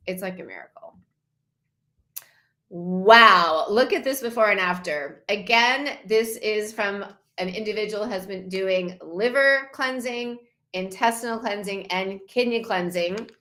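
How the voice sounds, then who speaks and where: A woman's voice: medium pitch, pushed and bright. A woman in her fifties presenting before-and-after photos to an online group, enthusiastic and repeating her main points. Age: 50s. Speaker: wellness challenge host.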